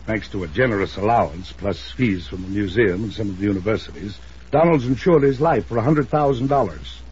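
An American actor playing a wealthy, middle-aged, domineering and hot-tempered uncle in an old-time radio drama, pompous and blustering. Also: male voice, lowish voice, animated, fairly quick, darkish tone, natural voice. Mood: composed, grave. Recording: dull, hissy old radio broadcast.

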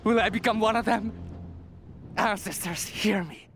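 Haunted soldier, slow and halting. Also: male voice, high voice, fairly even intonation, fairly bright tone, slight background noise. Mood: despairing, pleading. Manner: halting, haunted, anguished delivery. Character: terrified, doomed Japanese soldier haunted by evil spirits. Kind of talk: dramatic video game diary narration.

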